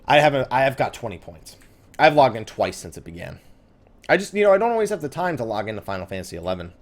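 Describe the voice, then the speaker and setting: Sweary man with very animated, swinging pitch. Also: quick pace. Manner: sweary, griping, sarcastic. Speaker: host of a gaming let's play series. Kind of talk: casual let's play commentary.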